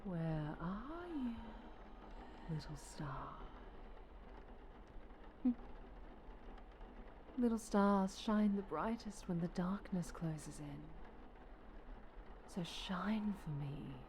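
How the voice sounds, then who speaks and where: A woman playing a sinister, obsessive, possessive female superhero in an ASMR roleplay, halting and menacing, often dropping into whispers. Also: lowish voice, expressive, noisy background. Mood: sinister, crooning.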